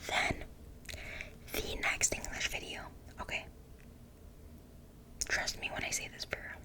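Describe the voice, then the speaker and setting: Whispering woman with a French accent. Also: measured pace. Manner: soft, stumbles over words and apologizes. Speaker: ASMR creator. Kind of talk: whispered ASMR book reading.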